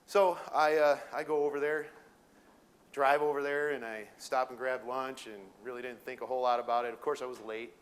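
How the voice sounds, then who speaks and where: A man's voice: mid-range voice, little pitch movement, a little fast. A middle-aged man from Detroit with a Minnesota accent, giving an informal talk to educators with lots of fillers and restarts. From Detroit, Michigan. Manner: folksy, rambling, many fillers and restarts. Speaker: welding sales manager presenting to educators. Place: room with an audience.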